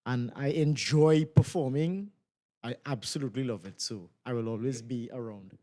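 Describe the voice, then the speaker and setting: A man, a stage actor answering casually in an interview, halting and repeating phrases. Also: mid-range voice, warm tone.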